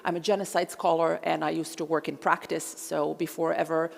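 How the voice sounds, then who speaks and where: Gravelly-voiced woman lecturing in a hall. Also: sits low, flat delivery. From Croatia. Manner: measured, with frequent ums and uhs. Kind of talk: public lecture. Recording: long hall reverb.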